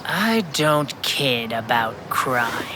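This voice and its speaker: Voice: medium pitch, very animated, extremely slow, background noise. An actor playing an earnest, self-important sixth-grade boy detective in a radio drama, speaking formally and precisely. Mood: pompous, earnest. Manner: pompous, formal, precise diction. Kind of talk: radio drama acting and first-person narration. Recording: music under the voice.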